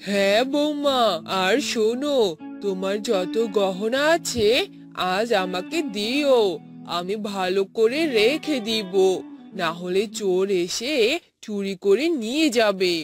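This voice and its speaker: Woman acting a slow-talking scheming older mother-in-law. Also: medium pitch, expressive, faint music behind. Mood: coaxing. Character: scheming older mother-in-law, sweet then greedy and wailing. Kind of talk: Bengali cartoon voice acting.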